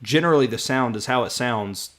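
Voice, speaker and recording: A man's voice: medium pitch, a little fast, fairly bright tone. A man hosting a podcast in casual chat, often repeating words and trailing off with fillers. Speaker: podcast host.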